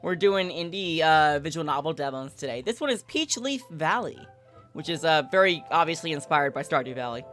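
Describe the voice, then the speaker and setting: A woman livestreamer with a bright, very lively voice. She is playful and slangy, chatting casually and narrating a visual novel, with faint music behind her. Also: deep voice, a little fast.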